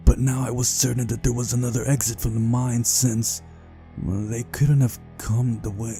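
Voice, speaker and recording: A man's voice: lowish voice, mellow. A man reading a visual novel's story aloud as scripted first-person narration for a playthrough.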